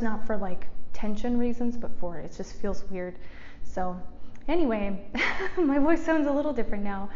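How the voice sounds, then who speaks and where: A chatty young woman talks to her viewers through a desk mic at home. She rambles, laughs a lot, and speaks in a soft, resonant voice. The sound is a little dull, with a faint background hiss. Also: medium pitch. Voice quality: soft resonant speaking voice after speech therapy. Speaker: YouTuber. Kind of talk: chatty get-ready-with-me vlog. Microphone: desk mic in front of her.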